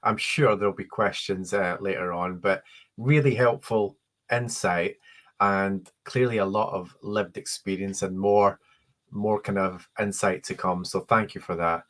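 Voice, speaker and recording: A man's voice: low voice, steady tone, a bit bright. A man hosting an online panel, thanking speakers and handing over with a few ums. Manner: friendly, brisk handovers with ums. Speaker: panel host.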